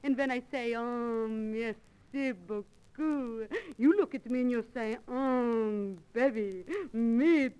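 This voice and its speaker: Flirty French lady-in-waiting, high voice, slow delivery, 1930s radio comedy disc. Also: dark tone, clear, resonant tone, rough recording. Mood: playful, flirty. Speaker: comedy sketch performer and singer.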